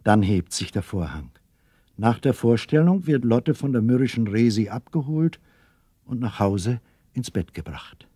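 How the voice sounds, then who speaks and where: A man's voice: mid-range voice, very animated, unhurried, dark tone, husky, dry recording. A man narrating a scripted children's radio play as a storyteller.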